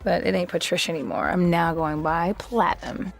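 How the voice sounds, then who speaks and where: A woman's voice: fairly low pitch, steady tone, fairly quick, gravelly, faint music behind. A woman playing a self-assured, sassy young woman in a game cutscene, bantering casually with an American accent. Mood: playful, teasing. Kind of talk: naturalistic video game dialogue.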